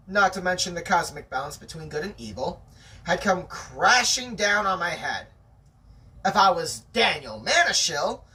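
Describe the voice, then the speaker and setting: Someone reading a novel aloud in an animated, theatrical way, with chatty asides to the listener. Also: mid-range voice, far from the mic, rough recording.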